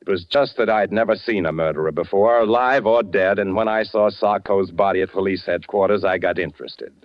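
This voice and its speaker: An actor playing a wry, curious movie director turned amateur sleuth in 1940s radio style, conversational and a little hesitant. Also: male voice, low voice, fairly quick, close to an everyday voice. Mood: impatient. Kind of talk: 1940s radio acting, first-person narration and dialogue. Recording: dull vintage radio recording, music behind.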